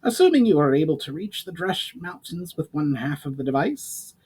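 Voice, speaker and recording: A man's voice: on the high side, very animated, moderate pace, a little mellow, husky, pitched above the performer's own voice. A man reading the part of a grave, resolute elf diplomat in a fantasy story, formal and serious. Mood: questioning.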